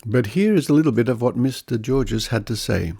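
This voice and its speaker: A man's voice: mid-range voice, a little fast, mellow, slightly rough. A man hosting a podcast, commenting on news and reading quotes with conversational asides. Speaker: podcast host.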